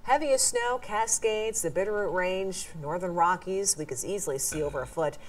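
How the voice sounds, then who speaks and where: A woman's voice: on the low side, sing-song, normal speed. An American woman delivering a broadcast weather forecast as a TV meteorologist. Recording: distant replayed broadcast, faint noise.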